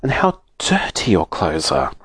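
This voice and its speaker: A man's voice: low-pitched, wildly swooping pitch, unhurried, fairly bright tone, husky, no room sound, voice pushed down, full character voice. Man voicing a fretful storybook mother. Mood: scolding. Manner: fretful, scolding. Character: worried, scolding mother in a children's storybook.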